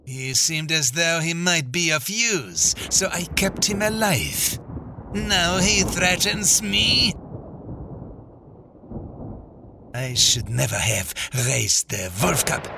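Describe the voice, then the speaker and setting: A man voicing a haughty, menacing older villain in a fantasy game fan dub, gravelly and theatrically pompous. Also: on the high side, big pitch swings, slow, noisy background, full character voice. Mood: scheming, gloating.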